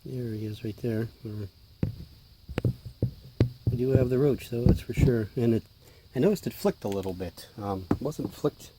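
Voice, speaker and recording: A man with a hoarse voice, clearing his throat as he narrates a casual hobby vlog while feeding his tarantulas indoors, chatty and offhand, sometimes dropping to a hush. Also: medium pitch, normal speed, long pauses, very noisy. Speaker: tarantula keeper filming feedings.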